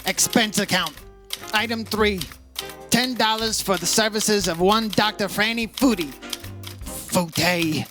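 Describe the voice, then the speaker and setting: A man playing a brisk, matter-of-fact insurance investigator in an old-style radio detective drama. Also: high voice, natural voice. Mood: brisk, dry. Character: brisk male insurance investigator narrating his case. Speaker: actor playing the lead investigator. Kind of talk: 1950s radio detective acting, scripted narration.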